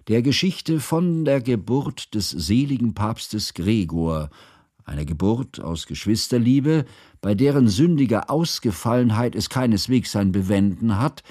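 Radio drama narrator: a scholarly, devoted male biographer, lowish voice, dry close sound, formal and deliberate literary delivery. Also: dark tone, dry recording. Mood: measured, scholarly. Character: learned, devoted bourgeois biographer recalling his composer friend.

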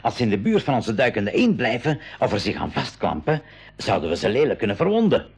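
An actor playing a worried but resolute uncle, an inventor and submarine captain, in a 1980s radio play with a gravelly, husky voice. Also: male voice, medium pitch, sing-song, fairly quick, faint music behind.

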